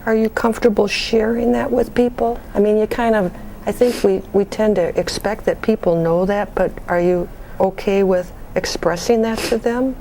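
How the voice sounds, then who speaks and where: Woman acting a gentle, mellow, even-toned bereavement counselor. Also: mid-range voice, gravelly, slight background noise, close to an everyday voice, low-quality recording. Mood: gentle, probing. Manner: gentle, measured, reassuring. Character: patient, supportive female bereavement counselor. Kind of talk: role-played counseling phone conversation.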